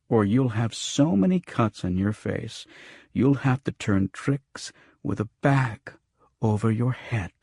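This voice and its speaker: A man voicing a cool street tough who makes calm, menacing threats in an audiobook. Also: medium pitch, dry recording, studio quality, voice pushed up. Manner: calm, soft-spoken threats. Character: cool, menacing male street tough defending his friend. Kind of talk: audiobook character voice.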